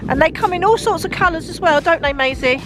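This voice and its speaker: A woman's voice: very high voice, quick pace, background noise. A woman chatting casually as a mother in a family vlog, in a giggly, encouraging way. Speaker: mother co-presenting a family toy review. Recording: loud music behind the voice.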